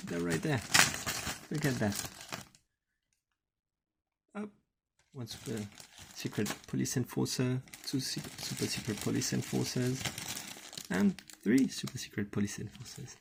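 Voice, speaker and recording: A man giving casual, halting unboxing commentary as a host, murmuring to himself as he handles things, in a husky, gravelly voice. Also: medium pitch, background noise. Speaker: toy unboxing video host.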